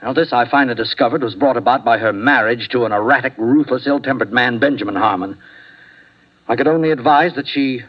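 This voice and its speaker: An actor playing a nervous, formal doctor in a 1950s radio drama, speaking in a hesitant, precise, courteous way. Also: male voice, medium pitch, fairly quick, close to an everyday voice. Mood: uneasy, formal. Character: nervous, sheepish, formal male medical doctor. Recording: dull vintage radio recording.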